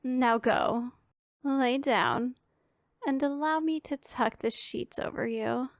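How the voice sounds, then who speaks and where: Woman playing a stern, unhurried demon woman. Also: high voice, dry recording, studio quality. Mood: stern, caring. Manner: authoritative, formal, imperious diction. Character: stern, commanding yet secretly caring powerful female demon. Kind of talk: scripted ASMR roleplay addressed to the listener.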